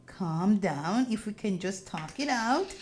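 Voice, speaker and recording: A woman voicing a calm, apologetic granddaughter in a horror visual novel, speaking softly and in a measured way. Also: medium pitch. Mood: puzzled, calming. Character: Nina, calm, apologetic granddaughter doubting the caretaker.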